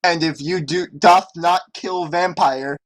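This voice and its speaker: A man voicing an evil, commanding dark wizard with archaic speech in a comedic story reading. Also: on the high side, fairly even intonation, a little slow, pitched above the performer's own voice, close to an everyday voice. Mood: commanding, menacing.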